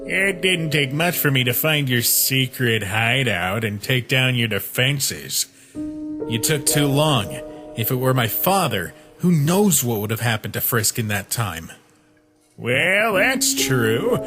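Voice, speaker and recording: A man voicing a cheeky, blunt skeleton knight who taunts in an unhurried way, in a fan-dubbed comic. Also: medium pitch. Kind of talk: fan-dub comic voice acting. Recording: voice over background music.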